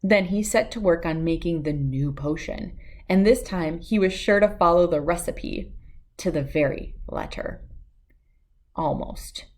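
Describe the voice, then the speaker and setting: A woman reading a children's story aloud as narrator, with expressive, dramatic and deliberate phrasing. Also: on the low side.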